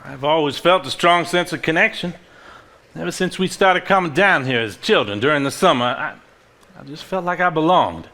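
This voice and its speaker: An actor playing a sentimental but sharp-tongued heir in a live stage play, speaking in an earnest, deliberate, pointed way. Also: male voice, fairly high pitch, animated, gravelly, full character voice. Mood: wistful.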